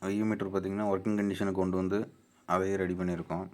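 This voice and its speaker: Low, monotone Tamil Nadu man. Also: racing. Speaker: amplifier builder and repairer presenting his video channel. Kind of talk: informal product walkthrough for viewers.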